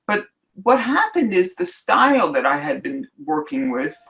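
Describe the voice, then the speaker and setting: A middle-aged woman artist answering interview questions thoughtfully, pausing often and searching for words. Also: on the high side, very animated, vocal fry, fairly bright tone, highs cut off. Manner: thoughtful, searching for words with many fillers and restarts. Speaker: artist and illustrator guest.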